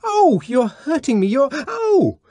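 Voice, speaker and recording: A man puts on a young woman's voice in a storybook reading, a soft, coaxing murmur that can leap into high, panicked cries. The pitch swings widely and the sound is close and dry. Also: very animated, very slow, no room sound, full character voice. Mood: terrified.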